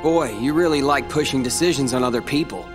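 An actor playing a calm, determined teenage boy hero in a video game, with a dry, brooding way of talking. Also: mid-range voice, a little fast, lightly acted. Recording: game audio with reverb and loud music.

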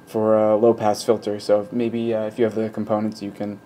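A man's voice: fairly low pitch, fairly quick. A man giving a casual technical explanation, thinking aloud in a hesitant, rambling way at a workbench. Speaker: host of an electronics hobby channel. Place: indoor electronics workbench. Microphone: camera mic behind the lens. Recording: steady static noise, handheld camera mic. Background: radio static from a speaker.

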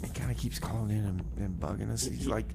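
A man's voice: lowish voice, quick pace, creaky. Rambling, stammering male radio host, noisy. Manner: stammering, rambling, repeats phrases. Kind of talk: casual music radio presenting with comic banter.

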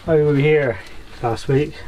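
A man with a voice a little higher than average explains things in casual conversation. He sounds like a working miner showing a visitor round. The camera recording carries faint location noise. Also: fairly even intonation, slow, darkish tone, muffled recording. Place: small underground coal mine tunnel. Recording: noisy on-location camera audio.

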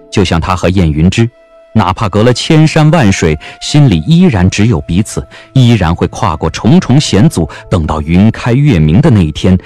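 A man narrating a historical romance audio drama as an expressive storyteller. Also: deep voice.

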